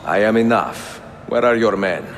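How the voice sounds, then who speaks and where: An actor playing a self-assured, wry master assassin with an Italian accent in a game cutscene, terse and dry. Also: male voice, lowish voice, moderate pace, slight background noise, close to an everyday voice. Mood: confident. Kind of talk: video game cutscene acting. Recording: loud music behind the voice.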